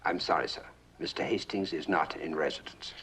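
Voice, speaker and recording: An actor playing a polite but evasive hotel manager in a suspense drama, formal, deferential and guarded. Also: male voice, a bass voice, brisk, vocal fry, fairly bright tone, a little hiss or hum, full character voice.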